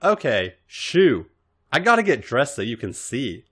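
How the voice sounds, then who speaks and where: A man voicing a sweet, wide-eyed young woman in a story, with breathy exclamations and a teasing, stern edge. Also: mid-range voice, very animated, measured pace, a bit bright, voice pushed up, full character voice. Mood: excited, bright.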